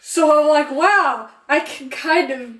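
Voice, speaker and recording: A woman's voice: a little high, expressive, normal speed, fairly bright tone. A woman co-hosting a podcast, casually talking through a film in a candid, sweary way. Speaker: podcast co-host. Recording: roomy echo.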